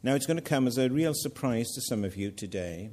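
A man's voice: mid-range voice, expressive, fairly quick, darkish tone. An older man with a Welsh accent preaching a sermon, earnest with self-mocking humour. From Wales.

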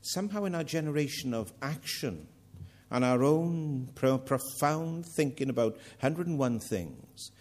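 A man's voice: mid-range voice, darkish tone. Welsh preacher man, earnest and wry. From Wales. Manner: earnest, self-mocking asides. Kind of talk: sermon.

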